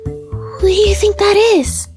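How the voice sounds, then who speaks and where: A woman's voice: very high-pitched, fairly even intonation, slow pace, darkish tone. A woman voicing a guarded, anxious and protective female pet animal in a visual novel. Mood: wary. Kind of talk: visual novel character acting. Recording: music clearly behind the voice.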